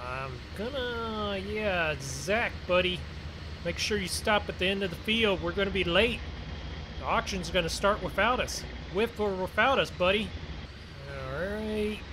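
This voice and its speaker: Higher-pitched man narrating over engine noise. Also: lively intonation, measured pace. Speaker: gaming video host. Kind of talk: casual farming game roleplay commentary. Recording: clear vehicle engine noise behind. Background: game vehicle engine sounds.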